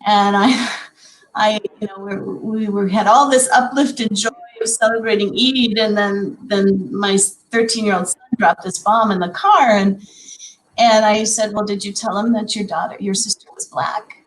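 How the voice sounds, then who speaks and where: Woman, forties, Minnesotan accent, mid-pitched voice, reflective, lots of ums. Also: fairly even intonation, unhurried, poor audio. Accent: Minnesotan American. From Minnesota, USA. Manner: earnest and reflective, many ums and restarts. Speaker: educator and panel guest. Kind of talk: conversational panel discussion.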